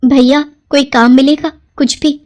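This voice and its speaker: A woman performs a poor young village mother for a cartoon story. The voice is very high and humble, with a polite, pleading way of speaking. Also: fairly even intonation, normal speed, darkish tone, lightly acted. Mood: distressed, pleading.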